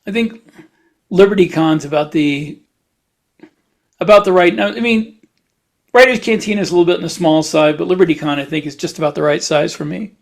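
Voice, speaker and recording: Higher-pitched man with a creaky voice. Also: brisk, pauses often. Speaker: podcast host, writer. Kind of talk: casual chat.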